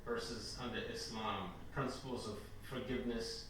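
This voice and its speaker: A man interviewing, asking questions in a soft, hesitant way. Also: on the low side, steady tone, moderate pace. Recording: echoey room, mic far off, audible noise.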